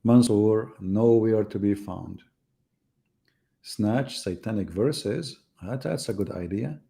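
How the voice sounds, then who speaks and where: Low, gravelly, sarcastic man. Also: slow pace, mellow. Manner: sarcastic, teasing, rhetorical questions. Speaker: host of live religious debate. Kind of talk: mocking casual live commentary.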